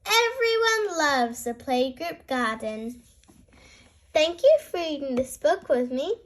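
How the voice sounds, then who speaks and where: A high-voiced woman reads a children's picture book aloud. Her delivery is slow and expressive, with a lively melody that swings up and down for a very young audience. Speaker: storyteller, channel host.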